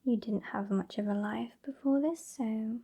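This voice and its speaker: A woman's voice: fairly high pitch, normal speed. A woman playing a haughty, sassy female vampire with a British accent in a whispered ASMR roleplay, condescending and exasperated. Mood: disdainful. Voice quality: whispery, breathy. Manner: condescending, exasperated, softly scolding. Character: sassy, haughty old female vampire scolding her fledgling.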